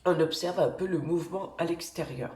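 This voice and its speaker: A woman with a cold giving weekly oracle-card guidance as an energy healer, speaking gently and hesitantly with many pauses. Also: lowish voice, echoey room, low-quality recording. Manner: gentle, reassuring, pauses often while drawing cards. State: has a cold. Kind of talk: slow, hesitant spoken guidance with many fillers.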